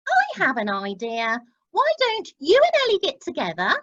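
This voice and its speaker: A woman's voice: high-pitched, wildly swooping pitch, moderate pace, fairly bright tone, full character voice. A woman playing a bubbly, gushing cruise ship entertainment organiser in an amateur radio comedy. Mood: encouraging. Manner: encouraging, effusive, chirpy. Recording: dull online meeting audio.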